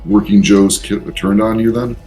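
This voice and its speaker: A man role-playing casually as a player in a tabletop game, halting and thinking aloud as he talks. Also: fairly low pitch, fairly even intonation, dark tone. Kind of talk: casual in-character role-play.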